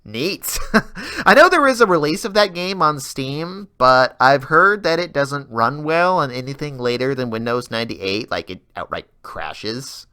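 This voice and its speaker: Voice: medium pitch, sharp tone, clean tone. A gamer giving a rambling, casual update in a self-deprecating way. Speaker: video game Let's Player.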